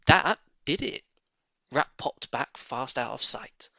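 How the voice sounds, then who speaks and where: A man reading a children's story aloud with expressive narration. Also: mid-range voice, takes it slowly, vocal fry, dry recording. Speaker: audiobook reader.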